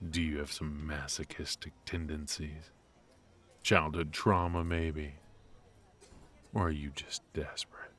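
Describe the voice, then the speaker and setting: A man's voice: extremely low pitch, little pitch movement, measured pace, long pauses, fairly bright tone, exaggerated voice. A man playing a cocky, ruthless and protective mafia boss in a noir ASMR roleplay, with an intimate, teasing delivery. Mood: teasing, dry. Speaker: voice actor.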